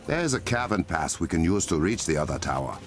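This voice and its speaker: Stern warrior king, African accent, very deep, lively intonation, formal and measured, video game hero. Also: a little hiss or hum. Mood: composed, authoritative. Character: regal, stern young warrior king and superhero. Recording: game music behind the voice.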